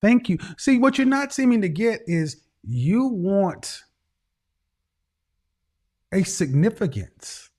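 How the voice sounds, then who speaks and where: A man with a fairly high voice lays into a caller with blunt, lecturing advice, repeating his points for weight. He sounds middle-aged and talks over a video call. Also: expressive, slow pace, long pauses, darkish tone. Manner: harsh, lecturing, repeats phrases. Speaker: host.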